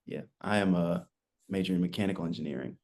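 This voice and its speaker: A man in his twenties telling his story as a podcast guest, relaxed and rambling, with lots of fillers. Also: low-pitched, fast. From United States. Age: 20s. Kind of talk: casual interview chat.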